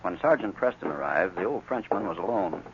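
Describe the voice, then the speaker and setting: A man's voice: low voice, lively intonation, a little fast. A man narrating a scripted adventure story in dramatic 1940s radio style. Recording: dull, noisy 1940s radio recording.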